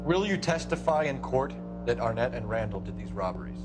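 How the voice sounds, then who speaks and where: An actor playing a stern, by-the-book police detective in a video game drama. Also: male voice, mid-range voice, animated, a little fast, lightly acted. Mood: stern, official. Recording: music over game dialogue.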